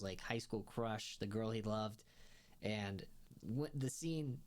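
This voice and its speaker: A man's voice: mid-range voice, a little mellow. Rambling young man reviewing a show. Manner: rambling, many fillers, laughs often. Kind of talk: casual spoken review.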